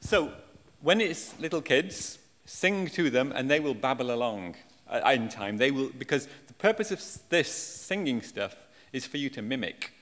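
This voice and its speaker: A man's voice: medium pitch, animated, normal speed, hardly any pauses, fairly bright tone. A man in his forties with an English accent, answering audience questions on stage in a self-deprecating, jokey way. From England. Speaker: conference speaker. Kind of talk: audience Q&A after a talk. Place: large auditorium stage. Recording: echoey lecture hall.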